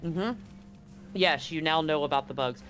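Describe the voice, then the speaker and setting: A game master running a live tabletop roleplaying game, narrating and bantering excitably and repeating phrases for emphasis. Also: medium pitch, a little slow, poor audio. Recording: music behind the voice. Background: background music.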